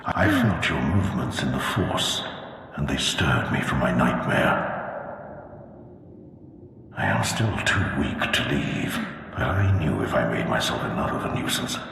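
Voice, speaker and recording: An actor playing an ancient, proud Sith lord's ghost in a video game, speaking in a solemn, archaic, grandiose way. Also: male voice, very deep voice, expressive, a little slow, vocal fry, a bit bright, full character voice. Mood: solemn, awed. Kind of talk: video game cutscene acting. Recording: cavernous hall reverb, background noise.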